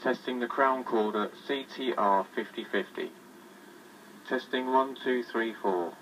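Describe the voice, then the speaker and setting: A man giving scripted, matter-of-fact narration in a measured way, as if presenting a product. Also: mid-range voice, fairly bright tone. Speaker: presenter demonstrating a vintage tape recorder. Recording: distant, noisy vintage tape recorder sound.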